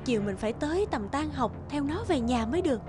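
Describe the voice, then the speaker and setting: A woman playing an anxious, overprotective mother with a quick temper and fretful exclamations in a cartoon. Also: high voice, lively intonation. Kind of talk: cartoon voice acting. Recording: music behind the voice.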